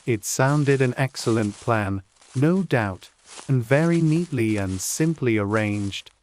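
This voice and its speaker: An audiobook narrator reading a storybook in a measured, unhurried and gently expressive way. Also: medium pitch, a little hiss or hum.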